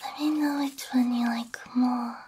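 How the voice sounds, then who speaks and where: A woman whispering softly in an ASMR roleplay, gentle and reassuring, speaking close into a binaural microphone. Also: a little high, fairly even intonation, normal speed, noisy background. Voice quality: breathy, mostly whispered. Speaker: ASMR roleplay creator playing a robot repair technician.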